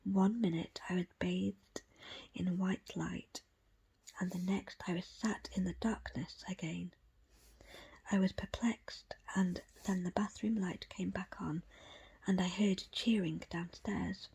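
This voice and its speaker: A woman reading a book aloud as ASMR in a breathy, unvoiced whisper, with hushed, deliberate phrasing in short pieces. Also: fairly low pitch, fairly even intonation. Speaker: audiobook reader.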